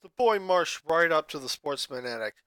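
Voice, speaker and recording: A man reading a fanfic aloud, narrating in a steady, even way. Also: on the high side, normal speed, clean tone, no room sound, clean studio sound. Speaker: fanfic reader. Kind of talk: story narration.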